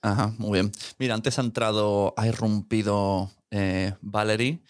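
A man's voice: fairly low pitch, little pitch movement, measured pace, no room sound, clean studio sound. A man hosting a podcast from Catalonia, chatting casually with his guest and teasing her with jokes and laughter. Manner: jokey, teasing, laughs often. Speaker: podcast host. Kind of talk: casual interview chat. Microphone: Rode studio microphone.

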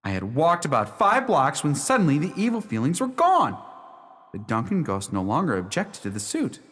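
An actor playing an earnest, lovestruck young gentleman, telling his story formally in a radio drama. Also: on the high side, huge pitch swings, moderate pace. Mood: determined. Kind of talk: radio drama narration, first person. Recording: long hall reverb.

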